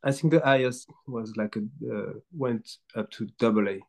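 A man, a guest in an interview, answering haltingly with many restarts. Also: medium pitch, darkish tone. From France. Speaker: baseball club guest.

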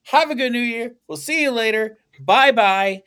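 A man's voice: extremely high pitch, moderate pace, fairly bright tone, smooth. A young man hosting a casual podcast, rambling and joking with lots of swearing and laughter. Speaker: podcast host. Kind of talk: casual comedic podcast banter.

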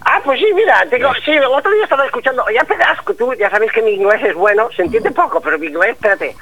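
A man's voice: extremely high pitch, expressive, fast, sharp tone, exaggerated voice, low-quality recording. A man voicing a rambling, foul-mouthed old caller from in a comic radio sketch. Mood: chatty. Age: older. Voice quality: rambling, stammering, blurts out swear words. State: stuffy head cold. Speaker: comedy caller character.

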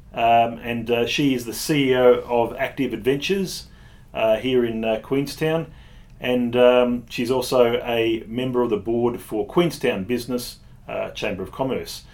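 A man hosting a casual podcast interview, speaking in a halting way with repeated words. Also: mid-range voice, darkish tone. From Tasmania, Australia. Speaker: podcast host.

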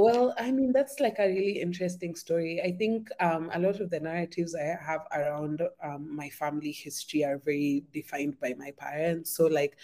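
A woman chats as a podcast guest. Her throat is a little sore. She rambles warmly through her memories with lots of fillers and easy laughter. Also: low voice. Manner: chatty, many "like" fillers, laughs often. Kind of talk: casual conversation.

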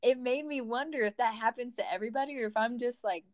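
A woman's voice: on the high side, quick pace. A woman interviewing a band over a remote call, chatty and upbeat, with many fillers.

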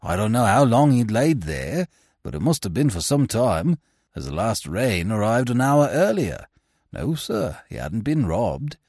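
A man's voice: lowish voice, sing-song, unhurried, a little mellow, breathy edge, no echo, studio quality, full character voice. A man reading aloud voices a plain-spoken working man, deferential and rustic in his speech. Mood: earnest. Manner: deferential, rustic, says sir.

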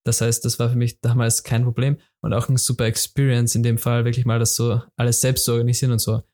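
A man's voice: medium pitch, little pitch movement, fast, a little mellow. A young man hosting a solo podcast, talking informally in a loose, repetitive way with plenty of fillers and restarts. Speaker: podcast host. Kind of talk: casual solo podcast talk.

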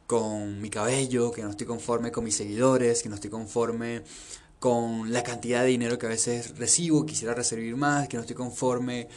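A man's voice: medium pitch, flat delivery, moderate pace, darkish tone. A young man from Venezuela talking earnestly and reflectively about his life, often repeating phrases and listing things in long runs. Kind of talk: reflective personal monologue.

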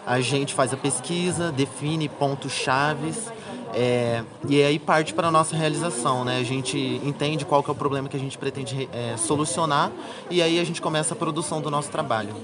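A young Brazilian man talks casually as a student being interviewed. His voice sits a bit high for a man. A crowd murmurs clearly behind him. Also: moderate pace. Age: young adult. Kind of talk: casual chat. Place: university congress with crowd. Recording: busy event crowd behind the voice.

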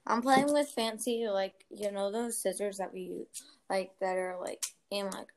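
A woman's voice: mid-range voice, steady tone, moderate pace, darkish tone. A young woman hosting a casual chat podcast, talking chattily with lots of fillers and giggles. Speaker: podcast host.

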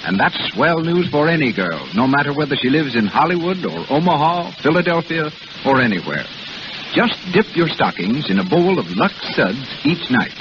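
A man's voice: fairly high pitch, big pitch swings, moderate pace. A man announcing a scripted commercial in the style of 1930s radio. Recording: muffled noisy 1930s radio broadcast.

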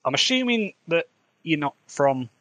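A man's voice: on the high side, sing-song, normal speed, bright, forceful tone. A man with an English Midlands accent, an author hosting his own podcast, talking casually and candidly with a rambling, chatty manner. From England. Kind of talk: casual solo podcast chat.

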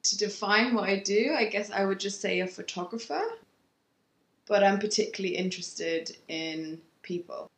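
A woman photographer, talking about her work in a halting, reflective way with frequent restarts. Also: medium pitch, roomy sound. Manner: halting, thinks aloud with many restarts. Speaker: photographer, interview guest. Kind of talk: reflective casual conversation. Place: home.

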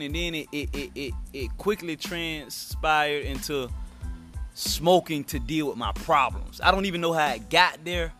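A man in his thirties with a slightly high voice, speaking in relaxed podcast chat. He stumbles and repeats words as he starts his sentences, with faint music playing underneath. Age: 30s. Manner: stammers and repeats words, calls his partner uncle. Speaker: podcast co-host.

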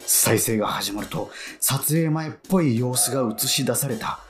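An actor playing an excitable male fan who lives alone, narrating and muttering to himself in a flustered way in a radio drama. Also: mid-range voice, moderate pace, natural voice. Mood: narrating, bewildered. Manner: animated, self-muttering, easily flustered. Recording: faint music behind.

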